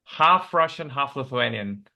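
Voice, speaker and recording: Bright sounding guy, medium pitch, just chatting on a group call. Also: lively intonation, measured pace, gravelly. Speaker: meeting participant. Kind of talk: casual chat. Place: online video call.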